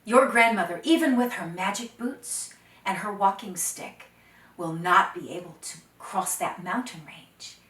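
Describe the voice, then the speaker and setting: A woman voicing a confident, boastful young man in a told folktale, loud and emphatic. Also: mid-range voice, a little slow, natural voice. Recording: roomy echo, distant microphone.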